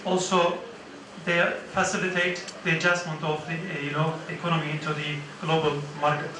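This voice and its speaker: A man giving formal remarks as guest speaker at a conference, halting often with fillers and restarts. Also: a little high, little pitch movement, noisy background. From Turkey. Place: large conference hall. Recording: echoey hall, distant mic.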